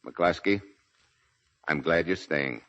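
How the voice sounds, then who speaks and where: A man's voice: rumbling low, slow, fairly bright tone, full character voice. A man acting as a stoic, fatherly military commander in 1940s radio drama, grave, terse and commanding. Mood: firm, dry. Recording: muffled 1940s radio broadcast recording.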